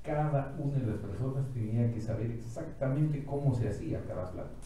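A middle-aged man giving a conference talk, telling anecdotes in short, emphatic, deliberate phrases. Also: mid-range voice, animated, dark tone. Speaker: conference speaker. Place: indoor auditorium, speaking at a podium. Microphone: distant camera microphone. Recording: echoey hall from far off, faint noise.

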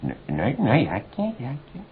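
A man's voice: medium pitch, huge pitch swings, measured pace, breathy edge, exaggerated voice. An actor playing a folksy, uneducated, self-important male inspector in satirical radio comedy, rambling with muttered interjections and stammered repetitions. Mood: smug, rambling. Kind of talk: satirical radio cabaret acting. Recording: dull, faintly noisy radio recording.

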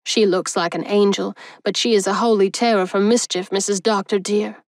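A woman's voice: medium pitch, fairly even intonation, moderate pace, no room sound, clean studio sound, close to an everyday voice. A woman voicing a solemn, chatty middle-aged housekeeper who tells stories at length in a storybook reading. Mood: solemn prattle. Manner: solemn, prattling, keeps saying dear.

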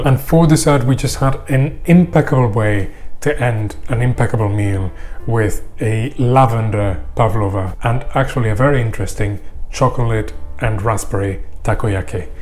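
A young man talking to camera as a vlogger, sharing a personal experience in a measured, articulate and gently emphatic way. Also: mid-range voice. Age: young adult. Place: small indoor room or office. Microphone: clip-on lapel mic.

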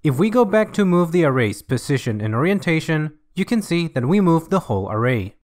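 A man reading scripted software tutorial narration in an instructional, measured way. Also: a little high, big pitch swings.

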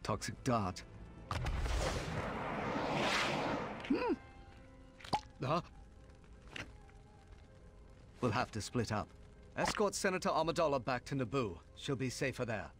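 A man's voice: mid-range voice, very animated, moderate pace, music in the background. A man playing a composed, polite Jedi knight in a video game, with a courteous, authoritative manner. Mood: urgent, commanding. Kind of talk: video game cutscene acting.

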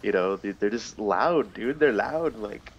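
A man's voice: on the low side, expressive, a little slow, dull audio, slight background noise. A young adult man chatting casually while gaming, griping and rambling with plenty of swearing. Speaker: gamer telling stories while playing. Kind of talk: casual chat during online gameplay.

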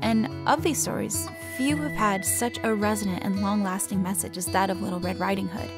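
A woman's voice: medium pitch, steady tone, brisk. A woman hosting a storytelling show, reading scripted narration. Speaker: host. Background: plucked guitar music.

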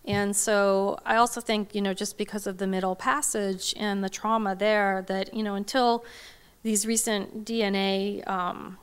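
A woman's voice: mid-range voice, flat delivery, unhurried, clear, resonant tone. A middle-aged woman answering audience questions from a podium microphone in a large hall, hesitant with many fillers and restarts. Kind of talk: academic Q&A talk.

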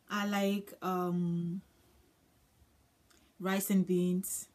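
A woman's voice: medium pitch, a little mellow. A young woman from Congo, chatting casually to camera with many ums and uhs. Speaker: vlogger. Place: small indoor room with floral curtain.

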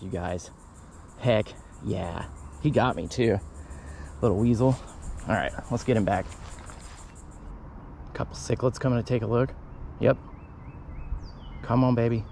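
A man vlogging while fishing outdoors by a canal, talking casually and breaking into excited exclamations. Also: mid-range voice, slight background noise. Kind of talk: casual fishing vlog narration.

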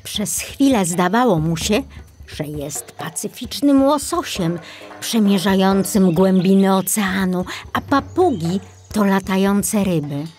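A woman's voice: medium pitch, lively intonation, slow pace, darkish tone, soft background music. A woman reading a children's audiobook as scripted narration.

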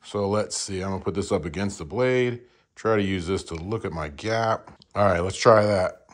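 A man's voice: low voice, normal speed, slight background noise. A middle-aged man hosting a woodworking vlog, talking casually and rambling with self-deprecating humor as he works in his small garage workshop, recorded on a clip-on mic. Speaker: woodworking channel host. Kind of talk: casual how-to vlog talk.

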